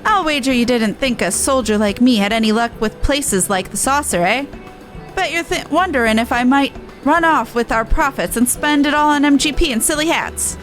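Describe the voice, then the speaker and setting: A woman's voice: sits high, animated, normal speed, fairly bright tone, soft background music. A woman voicing a young cat-folk resistance soldier in a game, earnest, battle-worn and blunt. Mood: playful, businesslike. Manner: earnest, blunt, rough-and-ready phrasing. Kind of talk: game dialogue voice-over.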